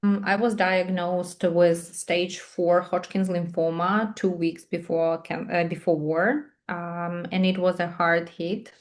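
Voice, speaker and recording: A woman in her thirties from Ukraine with a Ukrainian accent, answering interview questions on a video call in thoughtful, halting English with many pauses and fillers. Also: lowish voice, flat delivery. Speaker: guest, English teacher and patient advocate.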